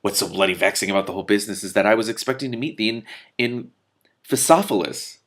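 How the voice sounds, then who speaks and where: A skeletal reaper from a storybook, put on by a man reading aloud. He sounds surprised and vexed, grumbling in formal, archaic phrases with a creaky edge. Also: fairly low pitch, fast talker, a bit bright.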